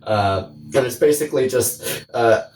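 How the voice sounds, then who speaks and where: A man's voice: mid-range voice, measured pace, darkish tone, slight background noise. A man co-hosting a podcast in casual, comedic chat, rambling and swearing freely. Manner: rambling, profane. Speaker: podcast co-host. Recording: roomy, distant microphone.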